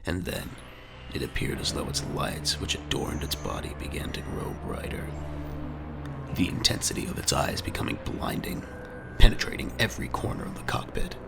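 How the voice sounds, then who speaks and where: A man's voice: very deep voice, very animated, normal speed, creaky, very noisy. A man narrating a scripted audio drama in a dramatic storytelling style. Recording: music bed under the voice.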